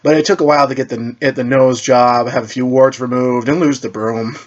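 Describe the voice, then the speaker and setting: A man's voice: fairly even intonation, a little fast, natural voice. A man voicing a sassy, curious teenage witch girl in a superhero story, playful and easily flustered. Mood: teasing. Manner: flustered, playful, higher-pitched. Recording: dull lo-fi recording.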